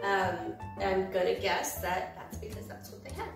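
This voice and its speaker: A woman's voice: medium pitch, moderate pace, echoey room, far from the mic, loud music behind the voice. An American woman hosting a cooking vlog from her home kitchen, chatty and upbeat, with rambling asides. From United States. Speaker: cooking channel host.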